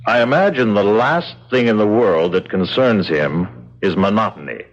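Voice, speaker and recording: A man playing a callous jailer in an old radio play, dry and dismissive. His lowish voice has lively pitch movement, on a dull old recording. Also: normal speed, natural voice. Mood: cynical. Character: cynical, callous male jailer. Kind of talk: old radio drama acting.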